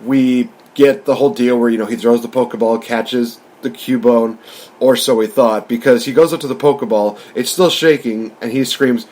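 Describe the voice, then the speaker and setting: A man's voice: mid-range voice, fairly even intonation, moderate pace, a little mellow. A man hosting a podcast, talking through a film review in an informal, chatty, rambling way. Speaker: podcast host.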